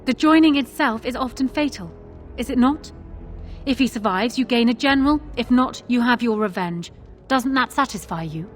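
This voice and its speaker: Female actor as insistent young noblewoman, fantasy game: high, proud, urgent, assertive. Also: animated, normal speed, faint music behind, natural voice. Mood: cool, persuasive. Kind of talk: dramatic fantasy game cutscene acting.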